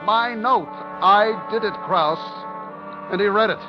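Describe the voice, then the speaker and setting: An actor playing a terrified hunted man recounting his ordeal in an intense 1950s radio thriller style, swinging between hushed and frantic. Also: sits high, takes it slowly. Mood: frantic panic. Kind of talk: 1950s radio thriller acting, first-person dramatic narration. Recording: dull 1950s radio recording with music.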